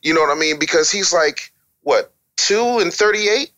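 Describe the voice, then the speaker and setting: A man's voice: on the high side, expressive, moderate pace, bright, forceful tone. A male interview guest explaining his opinions bluntly and wryly, with emphatic lists and rhetorical questions. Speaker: interview guest, author and radio producer.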